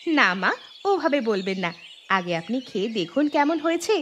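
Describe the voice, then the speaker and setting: A woman's voice: a little high, big pitch swings, moderate pace, a bit bright, slight background noise. A woman voicing a scheming, jealous young daughter-in-law in a cartoon story, with a theatrical, gloating delivery. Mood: sly, boastful. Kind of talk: Bengali cartoon storytelling voice acting. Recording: background music under voice.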